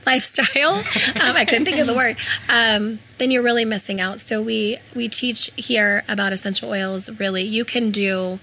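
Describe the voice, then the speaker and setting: Raspy woman, hoarse with laryngitis. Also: a little high, moderate pace, bright, forceful tone, slight background noise, low-quality recording. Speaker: health food store co-owner. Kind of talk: informal interview explanation.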